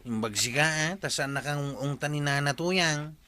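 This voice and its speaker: An actor playing a concerned, nosy young nephew in a Filipino comedy drama, with an Ilocano accent. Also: male voice, fairly high pitch, normal speed, sharp tone, clear, resonant tone. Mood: curious, concerned. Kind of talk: broadcast comedy drama acting.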